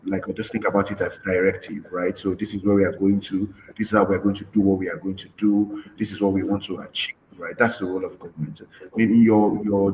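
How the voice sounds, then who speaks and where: A man moderating a panel discussion, who keeps checking in with a quick "right?" as he talks. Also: low voice, a little mellow, slight background noise, poor audio.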